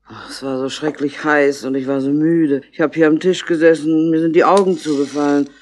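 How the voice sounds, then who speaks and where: An actress playing a boozy, sentimental, middle-aged barmaid in a radio crime drama, drawling and maudlin. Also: female voice, low-pitched, fairly even intonation, brisk, darkish tone. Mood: weary, wistful. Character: boozy, sentimental ageing barmaid, lonely and chatty. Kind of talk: 1960s German radio crime drama acting.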